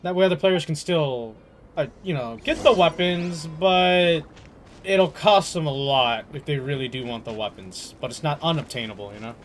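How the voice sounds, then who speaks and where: A man's voice: fairly high pitch, normal speed, a little hiss or hum. A man giving casual gameplay commentary, musing aloud and rambling as he plays. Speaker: game streamer. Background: game music.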